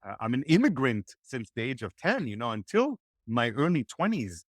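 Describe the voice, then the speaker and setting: A man whose first language is Hebrew, giving energetic, motivational networking advice as a podcast guest. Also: mid-range voice, normal speed, dry recording. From Israel. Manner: energetic, motivational, acts out quoted dialogue. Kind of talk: animated podcast interview.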